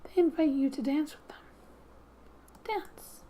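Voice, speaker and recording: A soft-spoken woman doing ASMR gaming commentary, gently reading story text aloud and often dropping to a whisper, in an unhurried way. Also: high-pitched, a little hiss or hum. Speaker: video game streamer. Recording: quiet background music. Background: soft music.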